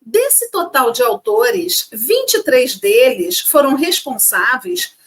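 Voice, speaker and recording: Lively high-voiced Brazilian woman co-host. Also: slow, slightly rough. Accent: Rio de Janeiro Portuguese. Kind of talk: casual chat, reading notes.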